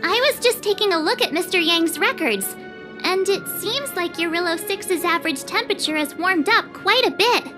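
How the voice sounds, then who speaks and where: A very high, lively girlish voice over prominent game music. This teenage traveler is bubbly and talkative, with a playful, teasing streak. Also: moderate pace. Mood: cheerful, eager. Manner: chatty, expressive, playful. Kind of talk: video game cutscene acting.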